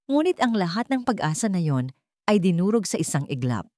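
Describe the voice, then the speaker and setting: Female narrator, low register, dry close recording, measured dramatic read. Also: no room sound. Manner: slow, dramatic, even reading. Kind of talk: scripted storytelling narration.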